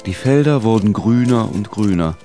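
An actor narrating a literary radio play as a solemn, measured storyteller. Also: male voice, on the low side, expressive, dark tone, faint music behind, natural voice. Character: solemn storyteller recounting a deportation.

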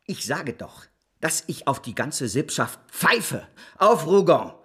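Husky, hot-tempered banker. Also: male voice, fairly high pitch, sing-song, takes it slowly, a bit bright, full character voice. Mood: furious, scornful. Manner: blustering, scornful, emphatic. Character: ruthless, hot-tempered French banker, paranoid and combative. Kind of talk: German radio drama acting, historical.

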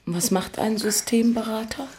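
A woman's voice: fairly high pitch, steady tone, normal speed, dark tone, a little hiss or hum, lightly acted. An actress playing an emotional, lovestruck single woman in Berlin in a German radio play, anxious and exalted. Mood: curious, soft.

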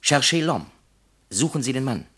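An actor playing a detached, lecturing factory psychologist in a radio play, analytical, pedantic and wryly sceptical. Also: male voice, mid-range voice, lively intonation, moderate pace, sharp tone, husky. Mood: wry, advising. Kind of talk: German radio play acting.

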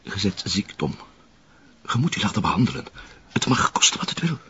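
A man's voice: on the low side, sing-song, a little fast, bright, forceful tone, slightly rough, dull audio, exaggerated voice. An actor playing a worried middle-aged Flemish father, earnest and insistent as he pleads in a TV crime drama. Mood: urgent pleading. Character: pleading, worried father of a troubled adult son.

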